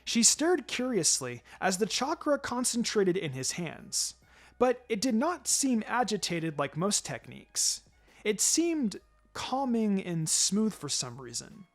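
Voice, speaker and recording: A man's voice: sits high, sing-song, a little slow. A man reading fan fiction aloud as a narrator, in a dramatic scripted storytelling style. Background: music.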